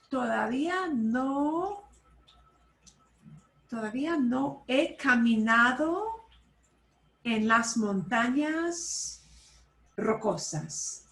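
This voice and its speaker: A woman teaching a Spanish class over a video call, switching between Spanish and English and repeating phrases slowly. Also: fairly high pitch, a bit bright, reverberant, low-quality recording. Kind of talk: online class instruction.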